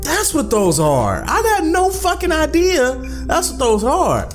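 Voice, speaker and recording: A man's voice: very high-pitched, huge pitch swings, measured pace, soft background music. A man hosting a gaming video, talking in casual slang with swearing and repeated phrases. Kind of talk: casual let's-play commentary and read-aloud.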